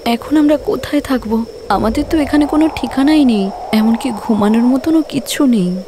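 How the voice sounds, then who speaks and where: Woman playing a plaintive, high-voiced poor village wife. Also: normal speed, background noise, lightly acted. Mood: worried. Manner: plaintive, earnest. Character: anxious, loving, poor young village wife and mother.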